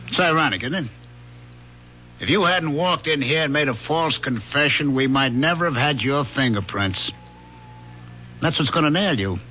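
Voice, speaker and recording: An actor playing a firm, dry, world-weary police lieutenant in a radio drama. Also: male voice, mid-range voice, pushed and bright. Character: tough, fatherly middle-aged male police lieutenant. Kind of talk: 1970s radio drama acting. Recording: dull old radio broadcast with faint hiss.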